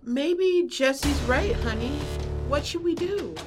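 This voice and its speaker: A woman's voice: high-pitched, expressive, slow. A woman playing a soothing, reassuring mother in a haunted house, in a student audio drama. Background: faint music.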